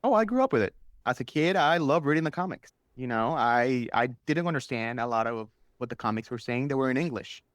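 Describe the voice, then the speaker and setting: A man's voice: medium pitch, animated, a little fast, no echo. A man whose first language is Spanish, chatting enthusiastically and casually as a guest telling stories on a podcast. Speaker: interview guest, superfan.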